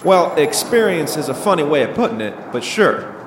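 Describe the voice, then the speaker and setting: A man's voice: a little high, very animated, moderate pace. Man as echoey, level-headed scientist. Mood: assured, analytical. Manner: analytical, assured. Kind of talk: audio drama acting. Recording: echoey, with clear background noise.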